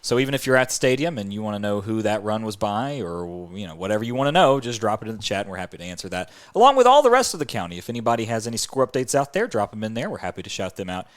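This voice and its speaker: A man's voice: fairly low pitch, very animated, rapid-fire, hardly any pauses. A man hosting a sports podcast in an upbeat, chatty presenting style.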